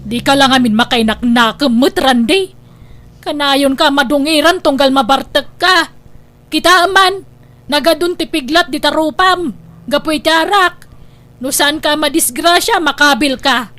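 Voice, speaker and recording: High-voiced nagging mother played by a woman. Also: a little slow, pushed and bright. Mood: exasperated. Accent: Ilocano. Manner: nagging, chiding. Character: scolding, exasperated mother of a hard-drinking grown son. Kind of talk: Ilocano comedy audio drama acting.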